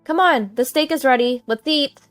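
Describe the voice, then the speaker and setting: A woman's voice: high-pitched, normal speed, a bit bright, no echo, faint music behind, voice pushed up. A woman voicing an outwardly sweet, bossy daughter-in-law in an audio story, with an insistent, falsely friendly manner. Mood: brisk, dismissive.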